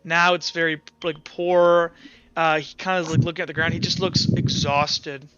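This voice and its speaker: A man's voice: a little high, level tone, moderate pace, fairly bright tone, highs cut off. A man working as game master, narrating a tabletop roleplaying game in a casual, sometimes profane way.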